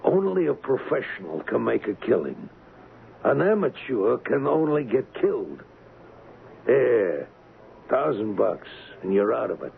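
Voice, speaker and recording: A man playing a cocky, wisecracking gangster in an old-time radio crime drama, slangy and taunting, with rough grammar. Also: mid-range voice, very animated, a little slow, narrow, tinny audio, full character voice. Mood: cocky, menacing. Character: cocky, wisecracking street-tough gangster hired as an agent. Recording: thin, band-limited, hissy old radio recording.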